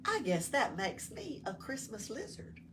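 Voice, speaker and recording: Woman voicing an excitable little storybook lizard, animated. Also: a little high, a little slow, music in the background, pitched above the performer's own voice. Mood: pleased. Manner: wide-eyed, exclaiming. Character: small excitable curious male Christmas lizard. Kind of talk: children's storybook read-aloud. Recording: distant mic with faint room noise.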